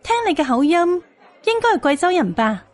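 A chirpy young Cantonese waitress acted by a woman for an audiobook flatters her customer eagerly. The voice is extremely high and swoops playfully. It sounds close and dry. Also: normal speed, dry recording. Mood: chirpy, flattering.

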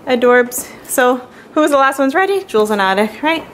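A young woman chatting casually to a handheld vlog camera, excited and gushing. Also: sits high, fairly quick, a little hiss or hum. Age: young adult. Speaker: vlogger. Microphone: handheld camera at arm's length. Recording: loud music over the talk. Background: loud music.

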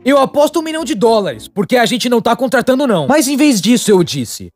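A Brazilian man voicing a young, wry cartoon storyteller in a chatty, animated dubbed narration. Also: extremely high pitch, fast talker, soft background music, heavily acted.

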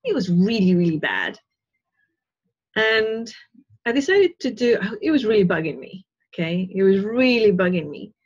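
A middle-aged woman with a slightly husky voice, speaking through an earphone mic on a dull, lo-fi call line. She talks in an earnest, meandering way from her living room, often checking in with her listeners. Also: medium pitch, sing-song, moderate pace, a little mellow. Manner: earnest, rambling, with frequent "right?" and "you know". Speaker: spiritual teacher. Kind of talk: casual talk on a video call. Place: living room at home, indoors. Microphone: wired earphone mic on a video call.

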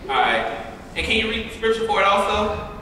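A church staffer played by a man hands out chores in a high voice. The stage recording is distant and noisy, with heavy echo. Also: big pitch swings, fairly quick. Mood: businesslike. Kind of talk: live gospel stage play dialogue. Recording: echoey theater stage, distant camera, noisy.

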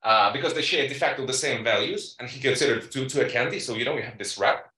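A man presenting research at a conference panel, talking haltingly with many fillers and quick asides to the audience. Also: medium pitch, pushed and bright. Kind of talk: academic presentation. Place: conference room. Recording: echoey room, distant mic.

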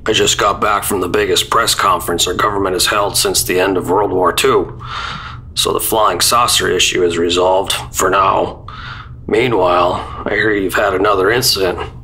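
An actor playing a brusque, authoritative general with clipped delivery in a radio drama. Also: male voice, deep voice, fairly even intonation, normal speed, bright, forceful tone, a little hiss or hum, low-quality recording. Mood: brisk, matter-of-fact. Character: gruff, brusque commanding general, male, middle-aged or older. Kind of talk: old-time radio drama acting.

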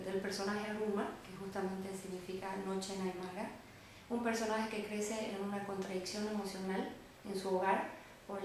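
A woman with a Bolivian Spanish accent talks reflectively in an interview, pausing and adding little tag questions. Her pitch sits mid-range. The room is strongly echoey, with faint noise underneath. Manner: reflective, halting, often seeking confirmation with tag words. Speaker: interviewed writer. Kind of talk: interview answers, conversational. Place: small indoor room with bare wall, seated.

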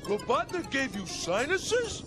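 A man's voice: extremely high pitch, huge pitch swings, unhurried, full character voice. A man playing a dim, proud robot henchman in a cartoon, talking in a dopey grumble. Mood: smug. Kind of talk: cartoon character acting. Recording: loud music behind, old cartoon soundtrack.

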